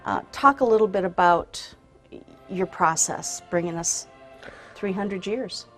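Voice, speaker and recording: A woman's voice: on the low side, a little slow, a bit bright, faint music behind. A woman hosting a conversational interview.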